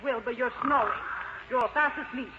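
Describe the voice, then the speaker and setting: A man's voice: very high-pitched, moderate pace, voice pushed up. A man voicing a strict, exasperated female ghost schoolteacher in a storybook tale, scolding and commanding in a theatrical way. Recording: dull, lightly noisy recording.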